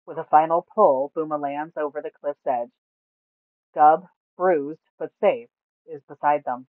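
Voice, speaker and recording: A woman storyteller reading scripted fantasy narration aloud in a measured, dramatic way. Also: low voice, steady tone, no room sound. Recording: narrow, phone-like sound.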